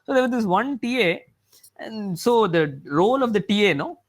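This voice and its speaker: High-voiced man, rambling lecture. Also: expressive, normal speed. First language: Tamil. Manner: rambling, filled with "you know" and restarts. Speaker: mathematics professor giving a talk. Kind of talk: lecture-style talk.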